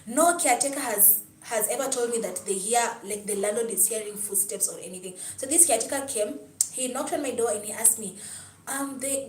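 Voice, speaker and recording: Young Kenyan woman, chatty livestream host, slightly high voice, roomy and distant sound with light background hum. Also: darkish tone. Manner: chatty, answers chat comments, storytelling. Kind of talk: casual livestream talk with viewers.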